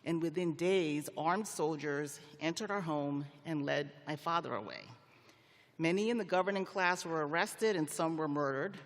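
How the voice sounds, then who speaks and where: A middle-aged man speaks from a podium in a large arena, his fairly high voice carried with long reverb. He delivers a prepared ceremonial address as a judge would, in measured, formal phrases with deliberate wording. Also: fairly bright tone. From Liberia. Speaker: commencement speaker, federal magistrate judge. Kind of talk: prepared commencement speech.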